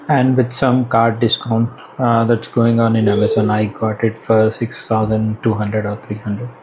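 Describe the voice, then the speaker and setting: A man with an Indian accent giving casual unboxing and installation commentary as a product reviewer, halting and hesitant as he thinks aloud. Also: medium pitch. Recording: clearly noisy background ambience.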